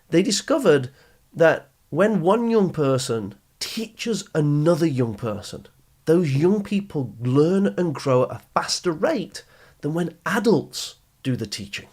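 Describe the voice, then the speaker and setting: Man, slightly high voice, husky, motivational podcast host, instructive, encouraging, emphatic. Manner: encouraging, instructive, addresses listener directly. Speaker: podcast host and coach for teenagers. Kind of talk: motivational educational podcast monologue.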